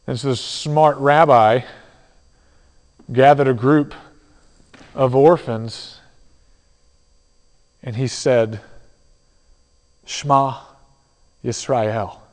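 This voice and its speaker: A man giving a sermon in a teaching manner, with dramatic pauses and soft drops in his voice. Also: medium pitch, fairly even intonation. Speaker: preacher. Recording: long hall reverb.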